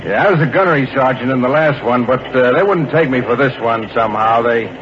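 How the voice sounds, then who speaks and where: An actor playing a chatty middle-aged traveling man, folksy and halting, in a 1940s radio drama. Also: mid-range voice, natural voice. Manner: folksy, halting small talk. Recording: muffled, noisy 1940s radio recording.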